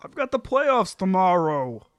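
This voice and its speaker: A man acts out a defensive, whiny husband in a sitcom table read. He speaks slowly, in a dry recording with little room sound. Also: sits high, big pitch swings, fairly bright tone, smooth, no echo, heavily acted. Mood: defensive, pleading.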